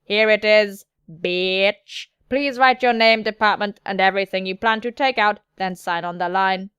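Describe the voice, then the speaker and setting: A woman voicing a curt, sassy male android warehouse keeper in a steady, clipped, formal manner with blunt insults. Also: mid-range voice, unhurried, clean tone, dry recording, clean studio sound, close to an everyday voice.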